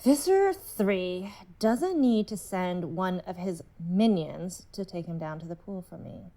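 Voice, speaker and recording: A woman's voice: fairly low pitch, animated, measured pace, dark tone. A woman playing a manipulative alien creature in a human body, coaxing and controlling, in an audio drama. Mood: cold. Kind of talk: fiction podcast audio drama acting.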